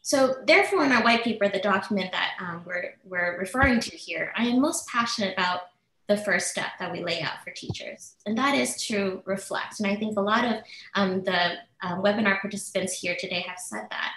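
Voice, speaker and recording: An earnest woman professor delivers prepared webinar remarks in a measured way. Her voice sits at an ordinary middle pitch. It comes through a video call with a noticeably roomy echo. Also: a bit bright, slight background noise. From United States. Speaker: assistant professor speaking as webinar panelist.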